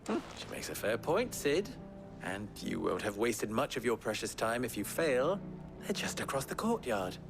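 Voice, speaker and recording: An actor playing a wry, wordy, sardonic fixer from a desert town in a video game cutscene. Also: male voice, medium pitch, sing-song, normal speed. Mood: wry, exasperated. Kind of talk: dramatic game cutscene acting. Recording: music behind the voice. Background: clear background music.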